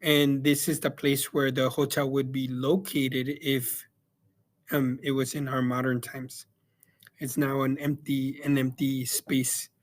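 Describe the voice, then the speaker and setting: A young man from El Salvador giving a casual spoken book review, pausing often with ums and restarting his sentences. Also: mid-range voice, little pitch movement. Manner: hesitant, many ums and restarts. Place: Yakima, Washington.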